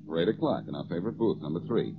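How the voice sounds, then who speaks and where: An actor playing a suave, wisecracking amateur detective in an old radio drama, flippant and smooth-talking. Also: male voice, sits low, animated, very fast. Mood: teasing, confident. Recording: muffled, noisy old radio recording.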